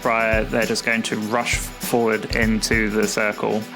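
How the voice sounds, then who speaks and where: Gravelly man over clear music. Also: lowish voice, completely flat delivery, unhurried, poor audio. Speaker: roleplaying podcast player. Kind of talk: character introduction and game narration.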